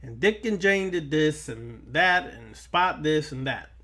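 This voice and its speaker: A man's voice: a little high, animated, measured pace, sharp tone. A man giving a spiritual lecture straight to his listeners, emphatic and repeating phrases for weight. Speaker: occult lecturer.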